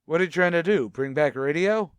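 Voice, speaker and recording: A man playing a dour, long-suffering opera critic with a deadpan, refined manner in an old-time radio comedy. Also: a little high, brisk, smooth, no room sound, lightly acted. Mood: weary, disdainful.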